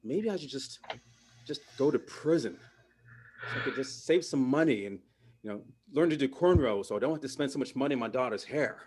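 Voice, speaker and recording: A middle-aged man, doing a stand-up comedy set, delivering deadpan punchlines and slipping into mock voices. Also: mid-range voice, brisk, slightly rough. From Canada. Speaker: parent.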